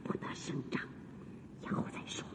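A woman's voice: in a whisper, close to an everyday voice. A woman acting an imperious, falsely kind, scheming empress in a theatrical Chinese radio drama. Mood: cold, hushed. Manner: commanding, deliberate, insinuating. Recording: noisy phone-like sound in long reverb.

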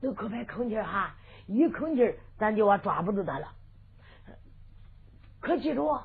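A woman's voice: medium pitch, big pitch swings, normal speed, vocal fry, breathy edge, pitched below the performer's own voice, full character voice. A woman voicing a crafty, hot-tempered young male hero. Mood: scheming. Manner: sung-spoken storytelling delivery. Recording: tinny phone-like sound with loud music.

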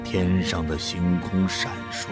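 A man's voice: low-pitched, flat delivery, unhurried, music in the background. An actor playing an earnest man who volunteers for a drug trial and tells his own story in a science fiction radio drama. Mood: reflective, subdued. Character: male first-person narrator, anxious but resolute drug-trial volunteer. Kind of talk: Chinese sci-fi radio drama acting and narration.

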